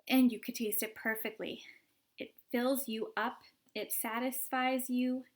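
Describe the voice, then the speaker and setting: A woman voicing an eccentric, excitable male candy inventor in a storybook read-aloud, fussy and insistent. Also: on the high side, unhurried, close to an everyday voice. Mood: proud.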